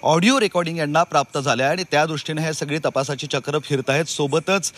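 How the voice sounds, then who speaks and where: Very fast-talking man reporting news. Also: fairly high pitch, fairly bright tone. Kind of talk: live unscripted news report.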